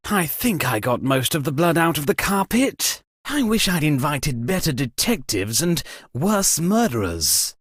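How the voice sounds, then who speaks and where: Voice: medium pitch, big pitch swings, a little slow, fairly bright tone, slightly rough, dry recording, clean studio sound, full character voice. An actor playing an anxious, exasperated, posh party host in a murder mystery video game, with a theatrical, fretful delivery. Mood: exasperated, wry. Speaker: game character voice lines. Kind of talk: theatrical comedic game voice acting.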